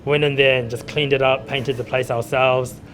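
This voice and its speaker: A man's voice: mid-range voice, little pitch movement, normal speed, a bit bright, a little hiss or hum. A man in his thirties with a New Zealand accent, telling his story informally in an interview as a chef, often saying "you know". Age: 30s. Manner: conversational, frequent "you know". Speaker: chef and restaurant owner being interviewed.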